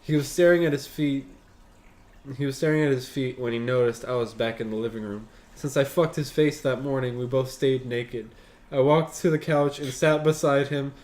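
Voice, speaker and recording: A man's voice: medium pitch, normal speed, a little mellow. A man reading a story aloud as a show host, breaking off for casual, sweary commentary.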